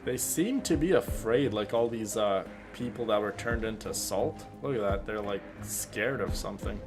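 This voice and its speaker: A man giving casual gameplay commentary as a streamer, explaining things informally and haltingly. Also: lowish voice, music in the background. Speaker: game streamer.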